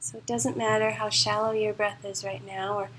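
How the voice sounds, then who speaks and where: A woman guides a relaxation session in an unhurried, soothing manner. Her voice sits at a middle pitch and reassures the listener as she instructs. A light layer of background noise runs under the recording. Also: level tone, fairly bright tone, low-quality recording. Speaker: meditation and yoga teacher. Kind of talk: guided meditation, spoken live to a class.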